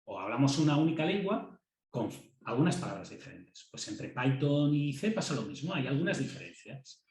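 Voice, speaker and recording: A man's voice: medium pitch, very animated, normal speed, darkish tone, echoey room. A man with a Castilian Spanish accent giving a university class, talking conversationally, repeating words as he thinks and checking in with students. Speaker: university lecturer. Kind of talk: classroom lecture.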